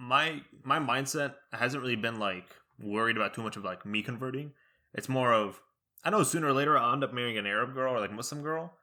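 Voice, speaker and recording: A man's voice: medium pitch, a little fast, sharp tone. A young man co-hosting a podcast in casual chat, rambling and restarting his sentences, joking loosely, with Arabic as his first language. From United States. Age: young adult. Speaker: podcast co-host.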